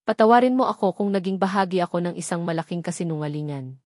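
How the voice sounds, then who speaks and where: A woman's voice: fairly low pitch, fairly even intonation, quick pace, darkish tone, no room sound, studio quality, lightly acted. A woman voicing a loving, remorseful older sister's farewell letter in an audio story, earnest and confessional. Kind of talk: scripted audio story drama.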